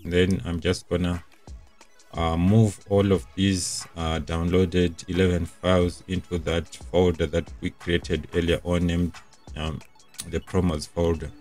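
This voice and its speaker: A man's voice: low voice, little pitch movement, unhurried, a little mellow, faint music behind. A young man presenting a casual step-by-step tech tutorial in an informal, explanatory way.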